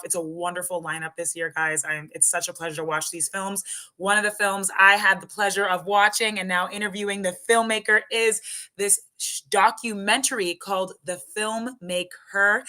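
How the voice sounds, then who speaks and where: A woman's voice: mid-range voice, bright, forceful tone. A young woman hosting a film festival interview, bubbly and gushing, laughing often.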